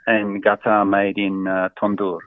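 A middle-aged man from Australia answering interview questions in a casual, hesitant way, with many fillers and soft chuckles. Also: on the low side, completely flat delivery, a little slow, dry recording. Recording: tinny phone-like line, dry.

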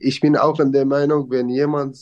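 A man's voice: mid-range voice, level tone, moderate pace, darkish tone, lo-fi sound. A young man from Syria with a Syrian Arabic accent, speaking German as a learned language in a casual interview, with grammar slips and hesitations. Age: young adult.

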